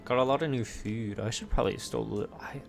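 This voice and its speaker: A man's voice: mid-range voice, fairly quick, vocal fry, soft background music. A man doing casual video game commentary, talking to himself and drifting between exclamations and muttering.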